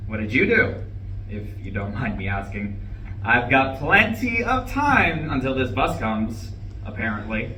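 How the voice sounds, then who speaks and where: A man's voice: mid-range voice, very animated, a little slow, pushed and bright. An actor playing a distraught, irritable, newly divorced man in a live stage play reading. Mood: dejected, faltering. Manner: faltering, sighing, swinging into bitter outbursts. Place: indoor room at a live reading. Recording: echoey room, distant mic, faint noise.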